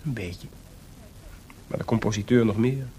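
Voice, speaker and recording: An actor playing an impetuous, wry and defiant composer in a Dutch radio drama. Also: male voice, normal speed, warm tone. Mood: musing, wry. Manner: wry, informal, headstrong. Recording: clearly noisy, rumbling archive radio recording.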